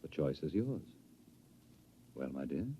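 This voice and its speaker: An actor playing a cold, controlling director in a 1950s radio drama, speaking in a measured, patronizing and formal way. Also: male voice, a bass voice, expressive, warm tone, slight background noise, exaggerated voice. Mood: cool, persuasive. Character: cold, smooth, controlling male director of a dystopian bureau. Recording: muffled vintage radio recording.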